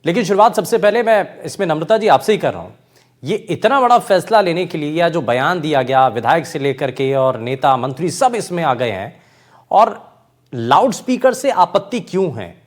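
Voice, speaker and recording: A man's voice: fairly high pitch, animated, moderate pace. A man anchoring a television news debate, formal and courteous, speaking in long run-on sentences. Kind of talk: TV news anchoring, introducing a panel.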